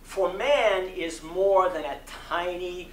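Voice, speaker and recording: A man giving a dramatic sermon reading to a live audience, with deliberate, oratorical phrasing. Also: sits high, fairly bright tone. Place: community center hall. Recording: roomy echo, distant mic, faint noise.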